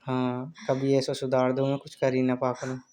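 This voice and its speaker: A man in a casual phone chat, rambling and teasing in a drawn-out way. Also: mid-range voice, monotone, darkish tone. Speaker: caller in a couple's phone chat. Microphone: phone call.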